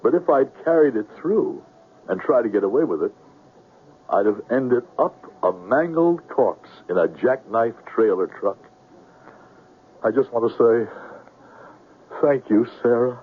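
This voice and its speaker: An actor playing a wordy, rueful swindler in a 1970s radio drama, florid and self-pitying. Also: male voice, mid-range voice, sing-song, measured pace, long pauses, slightly rough. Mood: reflective, relieved. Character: wordy, rueful male con man under arrest. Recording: muffled, phone-like old radio recording.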